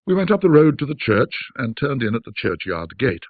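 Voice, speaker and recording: A man reading a classic ghost story as a measured, formal, old-fashioned storyteller. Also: mid-range voice, sing-song. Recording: muffled band-limited audio, roomy echo.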